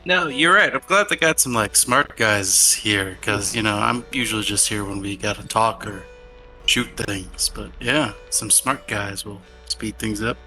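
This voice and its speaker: A man's voice: fairly low pitch, measured pace, pushed and bright. A man playing a tabletop roleplaying game, talking casually in character. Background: background music.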